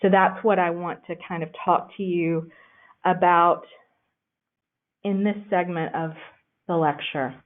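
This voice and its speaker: A woman giving a conversational library lecture, pausing often with "um". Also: on the low side, steady tone. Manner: conversational, frequent "um" fillers. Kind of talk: recorded lecture with slides. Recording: tinny phone-like recording.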